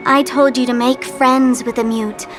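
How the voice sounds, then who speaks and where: A woman's voice: high voice, level tone, measured pace. An actress voicing a mocking masked female trickster in a video game, teasing and cruel. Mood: taunting. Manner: teasing, sly, taunting. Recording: studio voice over game music.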